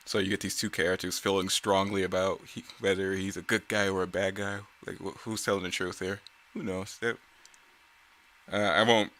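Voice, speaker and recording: A man's voice: deep voice, sharp tone. A man giving casual, off-the-cuff commentary as a creator on his own work, conversational, rambling and self-critical.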